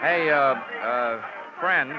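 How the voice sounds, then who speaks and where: An actor playing a wisecracking, dryly sarcastic insurance investigator in a 1940s radio drama, with wry asides. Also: male voice, medium pitch, slow pace, a loud crowd, close to an everyday voice. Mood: sardonic. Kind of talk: 1940s radio detective narration and acting. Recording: dull 1940s radio recording with music.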